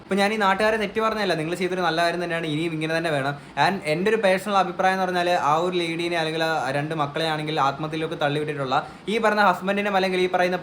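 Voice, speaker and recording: Guy doing earnest opinion commentary, talks super fast, kinda high voice, bit of noise behind. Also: fairly bright tone. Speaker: commentary vlogger. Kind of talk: opinion commentary on a news case.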